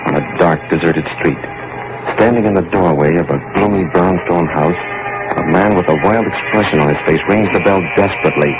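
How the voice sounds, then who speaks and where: A man's voice: low-pitched, brisk, natural voice. An American man playing an ominous host of macabre tales, announcing in melodramatic 1940s radio style. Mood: dramatic, urgent. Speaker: announcer and narrator. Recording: dull vintage radio recording, loud music.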